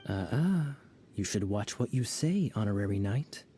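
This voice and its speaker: A sly teasing knight. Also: male voice, on the low side, expressive, measured pace, mellow, slight background noise. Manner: smooth, teasing and playful. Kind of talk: video game voice acting.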